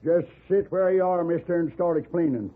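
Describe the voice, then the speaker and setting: Actor as a higher-pitched, wary frontier lawman, crackly radio. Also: a little fast, natural voice. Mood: suspicious. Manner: folksy, blunt, wary. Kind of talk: old-time radio western acting. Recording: noisy, tinny old radio recording.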